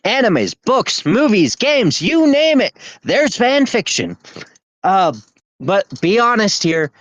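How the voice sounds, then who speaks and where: A man's voice: high-pitched, very animated, slow, a bit bright, no room sound. A man co-hosting a casual podcast chat, talking in a laid-back, rambling way and laughing often. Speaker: podcast co-host.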